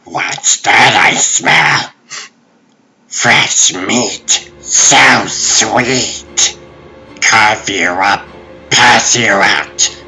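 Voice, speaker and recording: A man voicing a menacing, flesh-hungry monster in a comic dub, gloating in a drawn-out sing-song. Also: on the low side, pushed and bright, full character voice. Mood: hungry menace.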